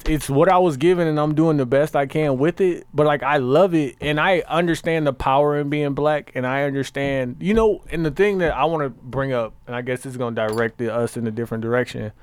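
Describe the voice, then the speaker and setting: A man co-hosting a podcast, talking in an earnest, passionate way with fast run-on sentences. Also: mid-range voice, smooth, no room sound. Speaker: podcast co-host. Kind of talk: casual group chat.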